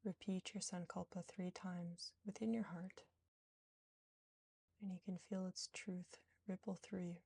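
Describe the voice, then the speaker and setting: A woman guiding a yoga nidra relaxation in a soothing, gentle, unhurried manner with long pauses. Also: on the low side, little pitch movement, creaky, slightly rough. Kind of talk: guided relaxation meditation.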